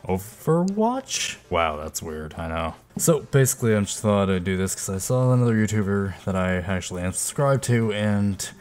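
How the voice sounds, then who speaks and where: A man's voice: on the low side, big pitch swings, moderate pace, few pauses, a little mellow, soft background music. A young man in his twenties chatting casually and self-deprecatingly into a headset mic in a small room. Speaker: YouTuber doing game voice impressions. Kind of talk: casual vlog chat. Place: small room indoors at a desk.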